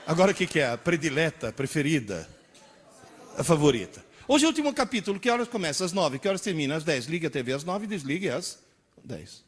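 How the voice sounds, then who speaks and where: Man, sixties, lecturer, husky, lively pitch, rhetorical questions. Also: fast. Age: 60s. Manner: animated, rhetorical questions, acts out dialogues. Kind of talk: lively public lecture to a live audience.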